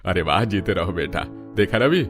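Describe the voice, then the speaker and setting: A man's voice: deep voice, animated, moderate pace. An actor playing a simple, trusting old village father in a cartoon story, with music behind him. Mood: proud, trusting. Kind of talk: Hindi cartoon story dub.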